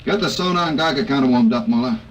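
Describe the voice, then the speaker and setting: An actor playing a brusque, fearless army general in an old-time radio drama, giving curt, clipped orders in a commanding manner. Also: male voice, mid-range voice, fast, close to an everyday voice. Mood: brisk, commanding. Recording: noisy old radio broadcast recording.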